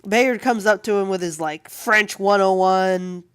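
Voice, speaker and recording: A woman artist chatting casually and with amusement on a livestream while she draws, in a rambling, animated way. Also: medium pitch, measured pace, clean tone, no room sound, clean studio sound. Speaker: comic artist streaming while drawing.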